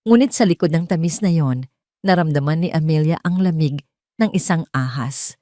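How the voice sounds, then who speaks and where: A woman tells a dramatic scripted story. Her voice sits on the low side with a dark, soft tone. The recording is dry and close. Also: moderate pace, no echo. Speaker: story narrator.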